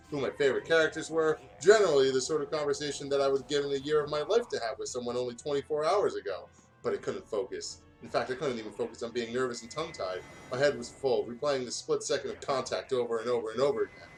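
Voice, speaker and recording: A man's voice: medium pitch, fast talker, soft background music, poor audio. A man who hosts let's plays, reading fan fiction aloud and chatting casually. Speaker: let's play host.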